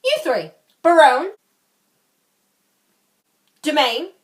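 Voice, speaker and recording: A woman's voice: sits high, animated, very slow, pitched above the performer's own voice, exaggerated voice. A woman playing a solemn, commanding king in a Shakespeare scene, declaiming formally. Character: solemn, commanding young king addressing his lords. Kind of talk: Shakespearean stage acting.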